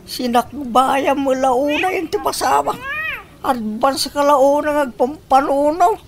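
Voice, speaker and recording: Over noticeable noise, a woman acts a skeptical, exasperated parent in a village comedy. The voice is very high, with a fussy, questioning delivery. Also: takes it slowly. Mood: puzzled, exasperated. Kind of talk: comedy radio drama acting.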